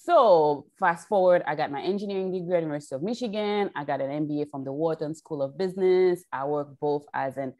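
Haitian woman in her forties, low voice, earnest explainer on a video call. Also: quick pace, hardly any pauses, darkish tone. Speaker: guest chocolate maker.

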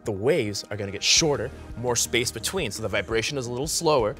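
A man in his twenties giving an upbeat, encouraging science demonstration for children, with an animated and exclamatory manner. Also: mid-range voice, fast. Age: 20s. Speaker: science show host. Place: TV studio set. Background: music.

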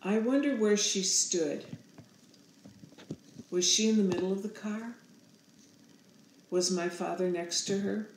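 A woman in her sixties narrating a storybook aloud in a measured, solemn manner. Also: mid-range voice, echoey room, a little hiss or hum, low-quality recording. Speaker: author reading own picture book aloud.

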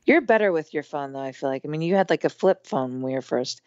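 A woman in her thirties with a hoarse, strained voice, chatting casually as a podcast guest with lots of fillers. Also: low-pitched, animated, fast, a little mellow, no room sound, highs cut off. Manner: chatty, rambling with many fillers. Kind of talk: casual conversation.

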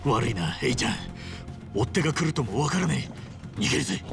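A man voicing a loyal, big-hearted middle-aged ex-yakuza hero with blunt, rough street speech in a video game. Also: medium pitch, lively intonation, quick pace, over music. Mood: grateful, earnest. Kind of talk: Japanese video game voice acting.

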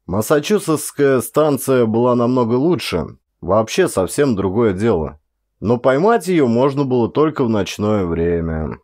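A middle-aged man narrates fiction in a fairly low voice. He reads in a scripted, dramatic storytelling style, as an actor does for an audiobook. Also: darkish tone.

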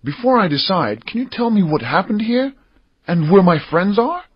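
An actor playing an earnest, defiant boy adventurer in an audiobook drama, with a slightly husky voice. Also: high-pitched, very animated, moderate pace, no echo, highs cut off, heavily acted. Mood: anxious.

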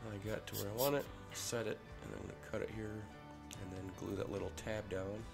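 A man with a head cold, casually talking through a workshop ring build in a relaxed way with fillers. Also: on the low side, fairly quick. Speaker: maker showing a ring build. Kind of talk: casual workshop tutorial voice-over. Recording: voice-over with clear music behind.

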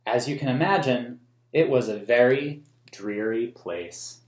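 An American man in his thirties reading a picture book aloud to children in a gentle, explanatory way. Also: medium pitch, a little slow. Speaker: museum story time host. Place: small plain room indoors. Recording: roomy indoor echo.

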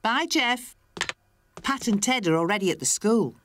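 A woman's voice: fairly high pitch, lively intonation, normal speed, a crowd in the background, natural voice. A woman with a British accent playing an obliging, friendly village baker in a children's cartoon, helpful and chirpy.

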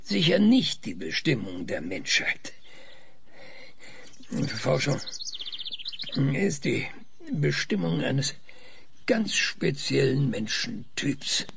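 A weary, sardonic male researcher in a sci-fi audio drama. He talks slowly and thoughtfully with dry irony, his pitch swinging widely and breaking into fry. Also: sing-song, fairly bright tone, slight background noise, heavily acted. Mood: reflective. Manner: hesitant, thoughtful, dryly ironic. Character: stubborn, sardonic male scientist Winston, probing and weary. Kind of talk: German audio drama acting.

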